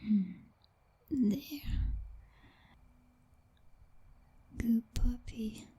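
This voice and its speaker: A woman's voice: fairly high pitch, dark tone, slightly rough, exaggerated voice. A woman playing a possessive vampire girlfriend in an intimate ASMR roleplay, whispering breathily in a teasing, dominant, coddling purr. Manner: slow, purring, teasing, condescending pet names.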